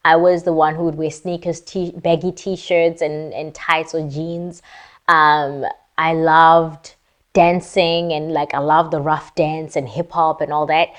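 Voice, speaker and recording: Chatty woman from lesotho, lowish voice, playful casual q&a vlog style. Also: little pitch movement, unhurried. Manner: chatty and playful, girly slang asides. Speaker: vlogger. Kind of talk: casual Q&A chat to camera.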